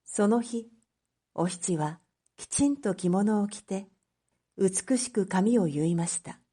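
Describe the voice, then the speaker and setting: A woman narrating a scripted story as a teacher for language learners, reading carefully in clear phrases. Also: mid-range voice, takes it slowly, dark tone. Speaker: teacher narrating read-aloud material.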